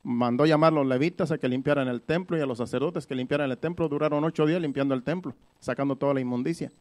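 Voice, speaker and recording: A man's voice: medium pitch, quick pace, darkish tone. A man preaching in a conversational Bible-teaching style, explaining things by repeating and rephrasing his points.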